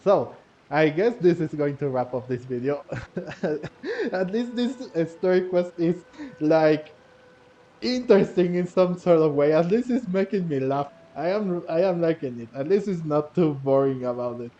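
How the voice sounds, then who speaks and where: A man giving casual game playthrough commentary in a halting, repetitive, giggly way, on a lo-fi mic. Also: on the high side, expressive, warm tone. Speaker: gaming video host. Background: faint game music.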